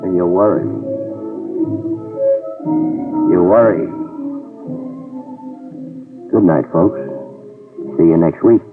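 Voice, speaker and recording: A man's voice: sits low, takes it slowly, over music. A man playing a hardboiled private investigator who wisecracks and narrates in 1950s radio drama style, on an old recording. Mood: reflective. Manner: dry wisecracking delivery. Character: hardboiled male private eye, wry and tough. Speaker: lead actor and narrator. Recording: tinny, distant old radio transcription.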